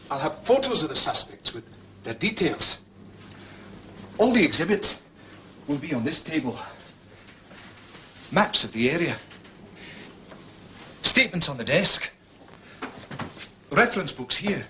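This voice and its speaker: An actor playing a methodical, proud Scottish police inspector in a period detective drama, speaking precisely and courteously with a Scottish accent. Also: male voice, fairly high pitch, sharp tone, a little hiss or hum, exaggerated voice. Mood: proud, businesslike.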